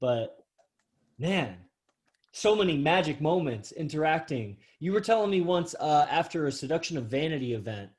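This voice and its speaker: A man with a somewhat high voice hosts a podcast interview. He talks in an effusive, admiring way and rambles from one thought to the next. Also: lively intonation, moderate pace, a little mellow. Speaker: podcast host. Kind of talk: casual interview talk.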